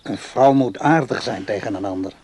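A man acting in a radio play as an insistent, needy old man who talks haltingly to a much younger woman. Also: lowish voice, creaky, darkish tone, slight background noise. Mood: insistent, coaxing. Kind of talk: naturalistic radio play dialogue.

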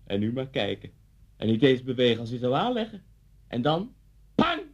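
An actor playing a taunting man in a radio drama, teasing and theatrical, relishing menace as he swings between quiet and loud. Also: mid-range voice, huge pitch swings, moderate pace. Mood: mocking, menacing relish. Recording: dull lo-fi radio play recording.

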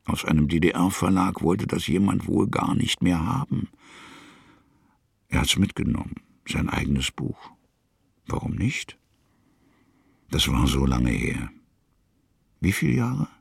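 A man narrating a radio drama in a gravelly, husky voice, musing in short phrases. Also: deep voice, animated, slow, pauses often, no echo, studio quality, full character voice.

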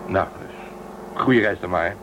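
An actor playing a brisk, caring male crew member in a radio drama. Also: low-pitched, lo-fi sound, noisy background. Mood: friendly. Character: resourceful male crewman Telsen, caring.

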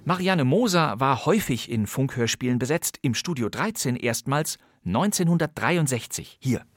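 Animated male podcast host, dry studio sound. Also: medium pitch, moderate pace, no room sound. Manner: playful, theatrical, relishing old thriller titles. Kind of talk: presenting vintage radio crime plays.

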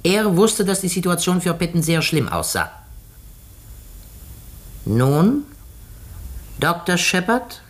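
A shrewd, theatrical detective in a 1950s radio drama. His light male voice swings widely in pitch as he probes, deliberate and sure of himself. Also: big pitch swings, exaggerated voice. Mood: dramatic, accusing. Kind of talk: 1950s German radio play acting.

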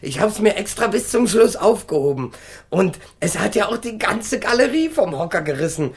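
An actor in a radio crime drama playing a defiant young ex-convict, cynical and remorseless, talking in brash, dismissive slang. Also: male voice, sits high, big pitch swings, normal speed, slightly rough, exaggerated voice. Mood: mocking, defiant. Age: young adult.

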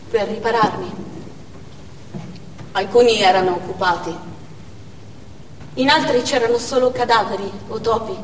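A woman's voice: mid-range voice, lightly acted. Echoey, distant grieving Chechen widow played by a woman. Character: grieving young Chechen widow, hollowed out by war. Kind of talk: theatrical stage monologue. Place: theatre stage, distant microphone. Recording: echoey theatre hall from afar, noisy.

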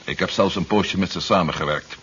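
A deep, extremely husky brainwashed henchman, speaks with ready obedience and easy scorn. The sound is that of a muffled 1970s radio play. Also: fairly quick, a bit bright, full character voice. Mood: scornful. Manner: compliant, dismissive of his former allies. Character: eager, obedient brainwashed convert serving alien plotters. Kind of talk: 1970s radio drama acting. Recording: dull, band-limited 1970s radio recording.

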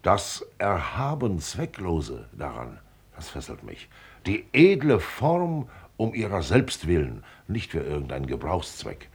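Gruff, husky old millionaire, low-voiced. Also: male voice, wildly swooping pitch, unhurried, full character voice. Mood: pompous, musing. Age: older. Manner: declamatory, lecturing, blunt. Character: gruff, wealthy old millionaire father, pompous glass collector. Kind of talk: German radio play acting.

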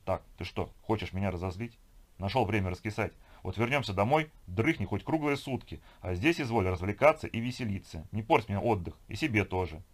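A man voicing a bossy, lively young woman who talks in an insistent, chatty way. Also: lowish voice, fairly quick. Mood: scolding, coaxing.

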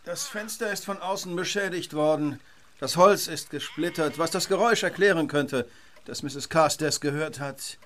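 A man's voice: on the high side, moderate pace, noisy background, close to an everyday voice. An actor playing a shrewd, composed male detective in a German radio drama, probing and precise with dry irony. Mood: analytical, dry. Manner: probing, precise, dryly ironic.

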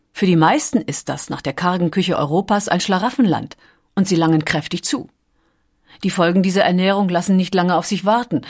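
A woman reading scripted documentary narration with measured, carefully articulated storytelling. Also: fairly low pitch, slightly rough.

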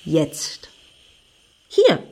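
An actress playing a scheming, grandly solemn woman in a satirical radio play, speaking in deliberate, lofty phrases. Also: a little mellow. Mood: resolute.

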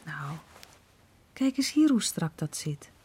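Motherly middle-aged village woman, mellow, breathy voice. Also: low-pitched, sing-song, slow pace, exaggerated voice. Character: warm fussing mother Marietje, middle-aged.

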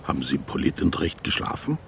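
Gravelly, sardonic army captain. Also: male voice, extremely low pitch, sing-song, fast talker, fairly bright tone, a little hiss or hum, full character voice. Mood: suspicious, menacing. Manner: clipped commands, officious and sardonic. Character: stern, suspicious, sardonic male army captain. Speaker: company commander. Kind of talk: radio drama acting.

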